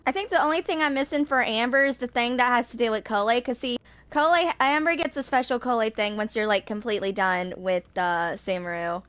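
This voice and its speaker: A woman's voice: on the high side, a little fast, a bit bright, no echo. A woman in her twenties casually commenting on a game, half talking to herself. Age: 20s. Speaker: gamer video host. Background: game music.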